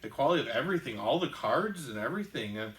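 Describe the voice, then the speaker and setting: A man hosting a casual board game show, explaining the rules in a halting way with many ums and restarts. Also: medium pitch, expressive, a bit bright, echoey room.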